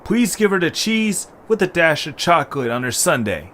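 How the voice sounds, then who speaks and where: A man's voice: a little high, big pitch swings, moderate pace, a bit bright, slight background noise. A man playing a good-natured, weary young adventurer with a casual, exasperated manner in a fan audio drama. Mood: casual, thoughtful. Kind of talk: fan audio drama acting with storybook narration.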